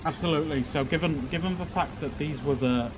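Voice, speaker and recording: Guy testifying as a cop, keeps repeating words and saying um, lots of noise on the voice chat. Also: on the high side. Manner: halting, repeats words, many fillers. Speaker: police officer witness. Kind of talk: roleplay courtroom testimony.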